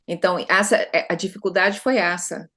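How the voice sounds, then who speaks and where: A woman's voice: fairly low pitch, fairly even intonation, normal speed, fairly bright tone. A middle-aged Brazilian woman consultant speaking conversationally in an interview, often adding tag questions and restarting her sentences. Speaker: consultant guest.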